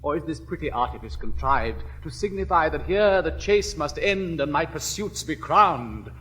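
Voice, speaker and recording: An English actor in his fifties playing an elegant, witty young gentleman suitor with measured, polished delivery. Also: on the high side, lively intonation. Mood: courtly. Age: 50s. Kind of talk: 1960s classic stage recording.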